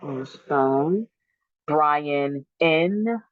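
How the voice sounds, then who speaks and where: A woman's voice: low voice, expressive, drawn-out words, no room sound, phone-quality sound. A woman hosting a dating show, calling on guests one by one with short cheerful acknowledgements.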